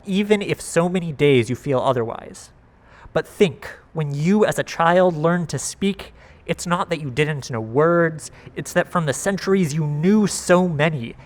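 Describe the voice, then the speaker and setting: A man reading a poem aloud for a worship service, measured, pausing between short phrases. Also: a little high. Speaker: guest reader of a poem.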